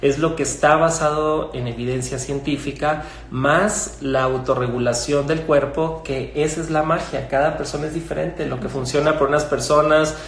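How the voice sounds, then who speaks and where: A middle-aged man with a Mexican Spanish accent, a gynecologist explaining things in a measured way with fillers and restarts. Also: a little high, steady tone. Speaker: gynecologist guest. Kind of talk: conversational explanation. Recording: echoey room with faint ambience.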